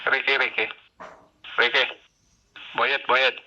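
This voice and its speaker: A man's voice: mid-range voice, little pitch movement, slow pace, noisy background. A man making short, repetitive test calls through a walkie-talkie radio. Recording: dull, band-limited walkie-talkie speaker.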